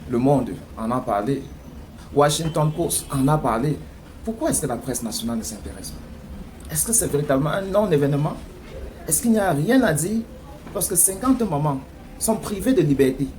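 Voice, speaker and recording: A man's voice: a little high, animated, normal speed, darkish tone, poor audio. A man with a Cameroonian French accent giving political commentary in an emphatic way, full of rhetorical questioning. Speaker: news commentator. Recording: clear background noise.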